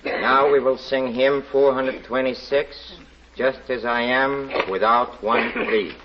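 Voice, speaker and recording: A man's voice: medium pitch, fairly even intonation, noisy background, close to an everyday voice. Man acting a smug criminal parson, dull old radio. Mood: pious, solemn. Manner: theatrical, halting, repeats words. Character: smug, cunning male criminal posing as a saintly parson. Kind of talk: 1940s radio drama acting. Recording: dull 1940s radio recording with music.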